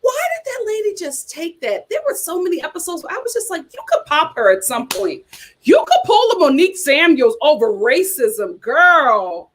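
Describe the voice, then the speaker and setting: Sassy high-voiced woman host. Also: moderate pace, a little hiss or hum. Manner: sassy, animated, talks straight to viewers. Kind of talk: casual reality TV commentary.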